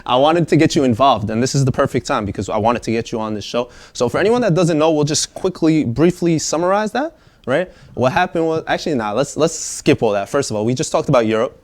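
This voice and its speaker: A young man hosts a casual online chat show in an easygoing, upbeat voice of middle pitch. He talks loosely, full of fillers, keeping things friendly. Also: fast talker. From Staten Island, New York. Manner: relaxed, upbeat, frequent fillers and laughs. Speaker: podcast host.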